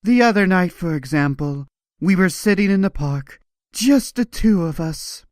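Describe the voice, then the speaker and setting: A man's voice: sits high, lively intonation, a little slow, darkish tone, no room sound, studio quality, pitched above the performer's own voice. A man voicing a lovestruck, dreamy young comic-book heroine in a melodramatic performance. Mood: wistful.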